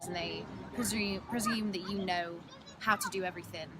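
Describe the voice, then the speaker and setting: A woman from Nottingham, answering interview questions in an animated way. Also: mid-range voice, moderate pace, heavy background noise.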